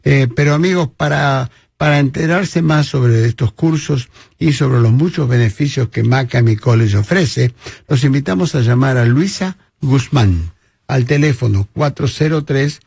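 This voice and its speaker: Gravelly radio host. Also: male voice, mid-range voice, a little mellow, no echo, studio quality. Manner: friendly, halting, many fillers. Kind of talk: community radio talk show with read ads. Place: studio.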